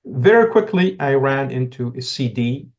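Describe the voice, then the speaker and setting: Middle-aged man from Tunisia, podcast guest, reflective, halting, average pitch. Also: lively intonation, highs cut off. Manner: reflective, many restarts and repeated words. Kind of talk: casual interview talk.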